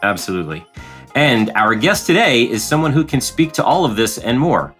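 A man's voice: medium pitch, sing-song, moderate pace, fairly bright tone, soft background music. A man co-hosting a sales podcast in a friendly, conversational way. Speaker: podcast co-host, sales leader.

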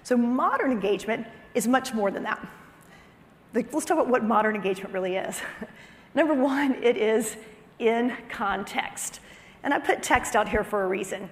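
A woman with a somewhat high-pitched voice presents a business talk. She drives points home through repetition and slips into conversational asides. Her voice carries the long reverb of a hall. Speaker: chief marketing officer giving a conference talk.

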